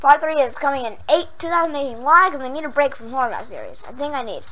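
A young woman playing a horror game, hoarse from losing her voice, jumpy and prone to yelps and squeals when startled, then chatty again. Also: sits high, big pitch swings, fast, fairly bright tone. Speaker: gaming channel host. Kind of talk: Minecraft horror map let's play.

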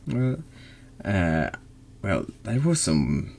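A man's voice: fairly low pitch, sing-song, very slow, gravelly, a little mellow, a little hiss or hum. A man streaming a horror text game, reading dramatically or chatting casually.

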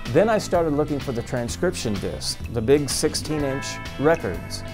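A man's voice: mid-range voice, big pitch swings, moderate pace. A man in his fifties with an American accent, a radio host telling his story as in an interview. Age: 50s. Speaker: old-time radio show host. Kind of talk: interview reminiscence. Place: small radio studio office. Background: plucked guitar music.

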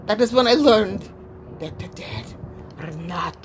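A woman's voice: medium pitch, expressive, a little hiss or hum, natural voice. A woman playing a weary old female spirit medium, solemn and foreboding, in a theatrical audio drama. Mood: haunted, grave. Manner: solemn, dramatic, deliberate pronouncements.

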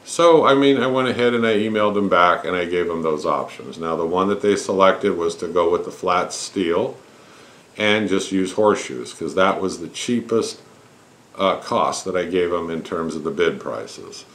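Very deep-voiced middle-aged man, casual. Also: moderate pace, fairly bright tone. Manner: folksy, step-by-step explaining. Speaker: host of a home welding tutorial channel. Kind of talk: casual explanatory shop talk. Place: cluttered home garage workshop.

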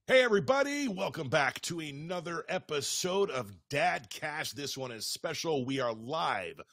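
A middle-aged man hosts a podcast with a lively, swinging pitch. His delivery is casual and conversational, recorded close and dry. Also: normal speed, no room sound. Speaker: podcast host.

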